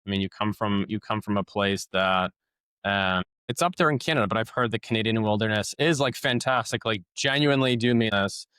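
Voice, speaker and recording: A man's voice: on the low side, brisk, a bit bright, smooth, no echo, clean studio sound. A man hosting a podcast, asking casual interview questions with lots of fillers and stumbling restarts.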